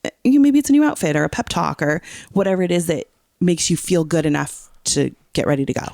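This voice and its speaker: Podcast co-host, mid pitch, fry in the voice, casual explainer who seeks confirmation, dry recording. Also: animated, fast talker, a little mellow, no room sound. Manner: often checks that the listener follows. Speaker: teacher. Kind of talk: casual podcast chat, explaining song lyrics.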